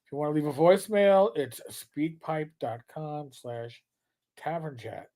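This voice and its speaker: A man's voice: a little high, animated, a little slow. Chatty New York man hosting a stream. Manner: chatty, rambling, gets loud and brash when joking. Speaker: livestream host. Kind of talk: casual live-stream chat.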